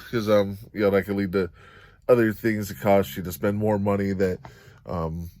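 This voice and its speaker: Man, lowish voice, rambling easygoing vlogger, dry close sound, inside a van. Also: darkish tone, no room sound. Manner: rambling and easygoing, many ums and you-knows. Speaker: van expediting driver vlogger. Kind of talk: casual talking-head vlog.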